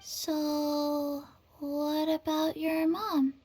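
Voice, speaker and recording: A woman voicing a small, solemn little girl in a video game, halting and deadpan. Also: high-pitched. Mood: flat, matter-of-fact.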